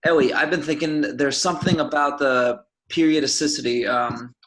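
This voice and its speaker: A man's voice: fairly high pitch, fairly even intonation, normal speed, a bit bright, natural voice, rough recording. A man acting an earnest, absorbed paleontologist in a script table read. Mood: absorbed, pondering.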